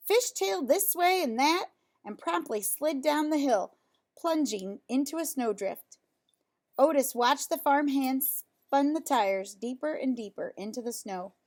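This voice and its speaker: A woman reading a children's storybook aloud in an expressive, emphatic storytelling way. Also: sits high. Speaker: storybook reader.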